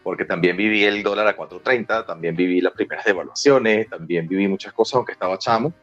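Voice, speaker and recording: A man's voice: deep voice, fast, fairly bright tone, muffled recording, soft background music. A Venezuelan man in his forties, talkative and colloquial, telling stories casually as an interview guest. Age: 40s. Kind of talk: casual conversational storytelling.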